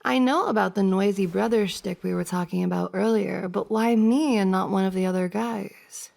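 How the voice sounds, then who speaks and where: A woman playing a giggly, teasing young woman confessing to her crush in an intimate ASMR roleplay, with a creaky voice. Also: mid-range voice, normal speed, darkish tone. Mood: sheepish, curious. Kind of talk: intimate ASMR roleplay, acted as one side of a conversation.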